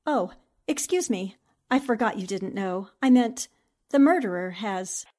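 A woman acting a brisk, eager newspaper reporter in a 1940s-style radio drama. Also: medium pitch, expressive, darkish tone. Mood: intrigued.

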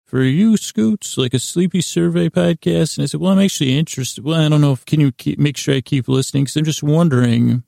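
A man hosting a bedtime sleep podcast, rambling in a halting, soothing way with a gravelly, creaky voice. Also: fairly high pitch, mellow, no echo, clean studio sound. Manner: meandering, halting, soothing, self-interrupting. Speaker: podcast host.

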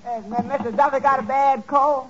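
Casting: sardonic tavern waiter, 1940s radio sitcom, strikingly high voice, muffled and very noisy archive sound. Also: male voice, steady tone, moderate pace. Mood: wry. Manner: wry, sardonic. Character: wry, deadpan male tavern waiter puncturing his boss. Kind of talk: 1940s radio comedy acting.

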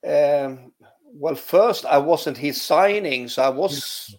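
A man's voice: a little high, slow. Hesitant Swedish-accented man. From Sweden. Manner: hesitant, many restarts and "you know" fillers. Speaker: former footballer, guest. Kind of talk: relaxed interview answers.

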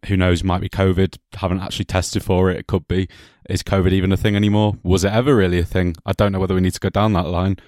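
Host: low man, chatty and jokey, slight cough, dry sound. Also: level tone, fast talker, few pauses, darkish tone, no room sound. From England. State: has a cough. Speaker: podcast host. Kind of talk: casual football podcast chat.

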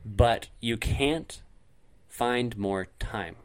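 A man's voice: mid-range voice, very slow, clear, resonant tone. A man co-hosting a podcast in casual chat, explaining points at length with frequent ums. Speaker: podcast co-host.

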